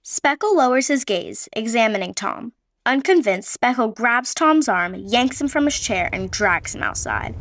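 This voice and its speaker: A woman narrating a radio play with even, descriptive storytelling. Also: a little high, a little slow, no room sound.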